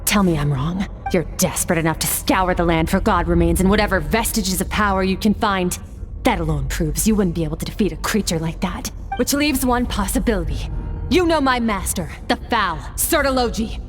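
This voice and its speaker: A woman's voice: medium pitch, fairly quick, soft background music, close to an everyday voice. A woman playing an aloof, blunt, battle-hardened swordswoman in a video game, detached and matter-of-fact. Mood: accusing, scornful.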